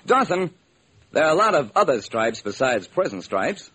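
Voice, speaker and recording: A man's voice: fairly high pitch, very animated, normal speed, pushed and bright. A man acting as a hard-boiled sleuth narrating his case in an old-time radio crime drama, clipped and wry. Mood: matter-of-fact. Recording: noisy vintage radio recording.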